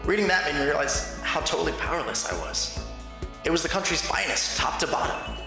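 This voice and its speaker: A man playing an earnest, awed high school boy narrating a visual novel fan dub. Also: sing-song, fairly quick, creaky. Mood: awed, uneasy. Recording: very echoey, long reverb, music behind.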